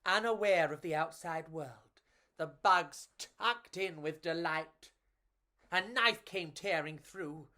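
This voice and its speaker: A woman reading to children as a storyteller, with a theatrical, playful and measured delivery. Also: on the low side, bright, forceful tone.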